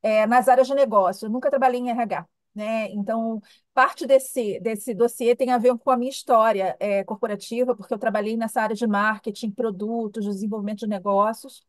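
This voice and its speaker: Higher-voiced middle-aged carioca woman. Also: steady tone, a little fast, dry recording. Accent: Rio de Janeiro Brazilian Portuguese. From Rio de Janeiro, Brazil. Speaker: interview guest, human development professional. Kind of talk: conversational interview answers about herself.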